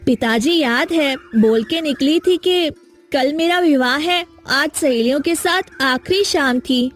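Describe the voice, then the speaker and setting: A woman's voice: high-pitched, slow, faint music behind. A woman voicing an anxious, determined young village woman in a cartoon, earnest and dramatic.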